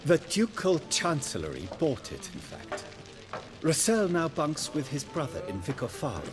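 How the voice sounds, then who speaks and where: A knowing, gossipy townsman in a fantasy game. His voice is a little high and very animated, wordy in delivery, with music behind it. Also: normal speed. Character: well-informed, gossipy male townsman. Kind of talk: fantasy video game dialogue.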